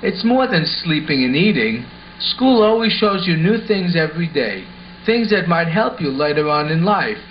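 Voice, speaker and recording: Man as puppet schoolboy, earnest, explanatory, highish pitch, muffled audio with faint noise. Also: sing-song, normal speed. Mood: earnest, instructive. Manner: explanatory, instructive. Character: earnest, sensible schoolboy explaining life to his dinosaur. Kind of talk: scripted puppet show acting. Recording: dull lo-fi sound with faint noise.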